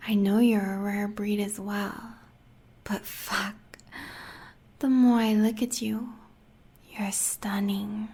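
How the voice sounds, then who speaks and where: American woman voicing a cruel seductive huntress, husky gravelly whisper, slow and dark. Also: medium pitch, flat delivery. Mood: menacing. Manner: breathy teasing half-whisper, drawn-out. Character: sadistic seductive female monster huntress. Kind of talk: audio roleplay acting.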